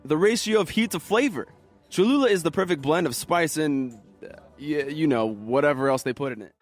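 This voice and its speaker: A man's voice: fairly high pitch, animated, fairly quick, soft background music, lightly acted. An actor playing an opinionated, pedantic man in a comedic audio drama, emphatic and mock-serious. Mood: indignant, insistent. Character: opinionated man pedantically arguing over trivia.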